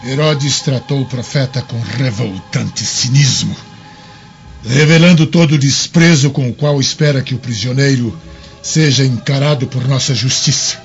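Man playing a creaky-voiced, troubled Roman governor. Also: mid-range voice, normal speed, natural voice. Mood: sorrowful, grave. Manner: declamatory, solemn. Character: troubled, indignant Roman governor, grave and conflicted. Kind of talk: radio drama acting. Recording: dull lo-fi with music behind.

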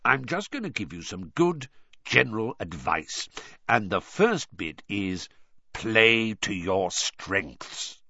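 Guy doing a growly old wizard teacher for an audiobook, blunt n crafty, really animated, slightly dull recording. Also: fairly low pitch, slow, heavily acted. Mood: firm, coaching. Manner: gruff, growling, blunt, commanding. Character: gruff, shrewd old male wizard teacher, growling and cunning.

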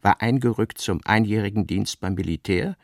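Low, creaky male literary narrator. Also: moderate pace, no room sound, studio quality. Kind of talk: scripted literary narration for radio drama.

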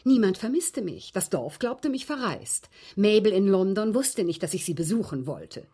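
Husky-voiced genteel widow, aggrieved. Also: female voice, medium pitch, big pitch swings, moderate pace, warm tone. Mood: bitter, composed. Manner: precise, formal, dramatic phrasing. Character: genteel, aggrieved widow recounting her captivity. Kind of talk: German radio drama acting.

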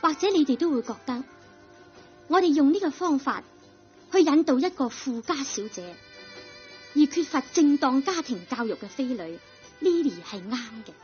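High-pitched, animated Cantonese woman narrator. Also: normal speed, long pauses. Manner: earnest, moralizing. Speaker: radio drama narrator. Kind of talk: scripted narration, earnest old radio address. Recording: muffled old radio recording. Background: music.

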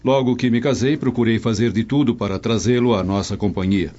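A man's voice: mid-range voice, fairly even intonation, brisk, mellow, natural voice. A man playing a dignified, traditional Roman nobleman in a radio drama, with formal, earnest speech. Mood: earnest, reflective. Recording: dull lo-fi audio.

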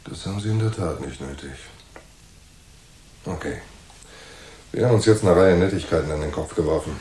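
A man's voice: low voice, fairly quick, warm tone, natural voice. An actor playing a cocky, wry and unflappable male private detective in a German radio crime drama. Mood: sardonic. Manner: wry, unflappable, mock-courteous. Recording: roomy echo with faint noise.

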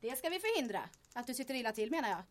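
A woman's voice: on the high side, sing-song. An actress playing a capable, wry woman secretary at a town hall in a radio drama, speaking in a dry, matter-of-fact way. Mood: dryly helpful.

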